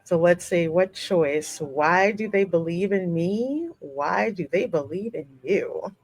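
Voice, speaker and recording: Slightly low-voiced woman hosting a game playthrough. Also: lively intonation, slow pace, dry recording. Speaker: gaming channel host. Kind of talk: let's play commentary, reading game text aloud with asides.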